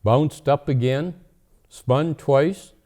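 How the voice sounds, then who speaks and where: Santa Claus storyteller played by a man: gentle, jolly old fellow, very unhurried, soft-edged mellow tone. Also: a little high. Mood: storytelling. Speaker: storyteller host. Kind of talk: storybook reading and casual chat.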